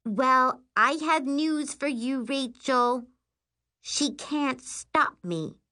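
A woman voicing an indignant, bossy twelve-year-old girl in a shrill, snapping voice for an audiobook. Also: extremely slow, sharp tone, heavily acted. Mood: defiant.

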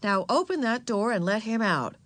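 Storybook mother, acted by a woman: stern, firm, exasperated, expressive intonation, dry close sound. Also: mid-range voice, normal speed, dry recording, close to an everyday voice. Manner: scolding, firm.

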